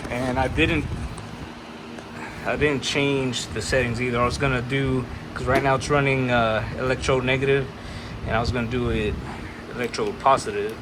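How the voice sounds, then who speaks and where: A man's voice: mid-range voice, fairly even intonation, moderate pace, background noise. A man giving a casual, unscripted DIY build walkthrough in a laid-back, plain-spoken, sweary way. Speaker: host of a DIY car build channel. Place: garage workshop.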